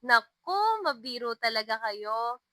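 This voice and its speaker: A woman voicing a kind, playful young schoolteacher in a narrated love story, explaining gently and teasing fondly. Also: fairly high pitch, very animated, takes it slowly, no room sound, studio quality, heavily acted. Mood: flustered, teasing.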